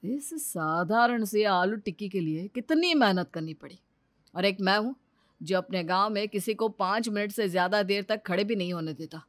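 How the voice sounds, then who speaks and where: A woman's voice: mid-range voice, animated, normal speed, no echo, natural voice. A woman voicing a greedy male village snack seller in a children's moral story, chatty, emphatic and persuasive. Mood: amazed, grumbling.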